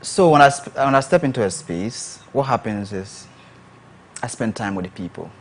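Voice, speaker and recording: A man guesting on a talk show, relaxed and laughing easily as he explains with examples. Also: fairly low pitch, moderate pace, a little hiss or hum. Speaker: guest content creator. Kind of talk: casual interview chat. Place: TV studio.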